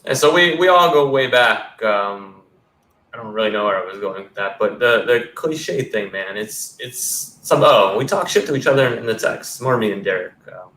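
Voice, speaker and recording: A man's voice: medium pitch, lively intonation, moderate pace, a bit bright. A man in his thirties hosting a casual sports podcast over a video call, talking loosely and rambling, with casual swearing. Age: 30s. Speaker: podcast host. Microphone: headset on a video call. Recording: roomy sound with faint background noise.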